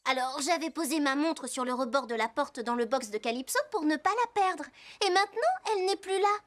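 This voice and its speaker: A woman's voice: high voice, lively intonation, fairly quick, fairly bright tone. A woman playing a suspicious, direct young girl in a French cartoon dub. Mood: puzzled, suspicious.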